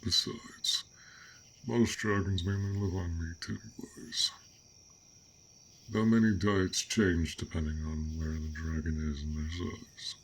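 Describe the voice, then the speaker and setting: A man playing a gentle, curious dragon in a roleplay audio drama, with formal, old-fashioned, pensive speech. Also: low-pitched, steady tone, takes it slowly, a little hiss or hum, rough recording. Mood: musing, courteous.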